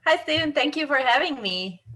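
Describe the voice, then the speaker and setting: A woman's voice: high-pitched, lively intonation, a little fast, sharp tone. A woman from northern Belgium with a Flemish accent and a native Dutch tongue, chatting as a guest in a casual interview in a friendly, chatty way. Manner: friendly, chatty, with light laughs and fillers. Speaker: guest, civil engineering professor.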